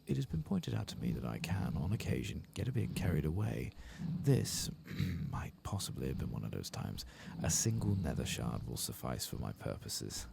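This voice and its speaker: A man's voice: rumbling low, very animated, normal speed, gravelly, hardly any pauses, full character voice. A man voicing a pedantic, defensive eccentric researcher from a fantasy game, fussy, curt and precise. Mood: sheepish, defensive. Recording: heavy background noise with game ambience.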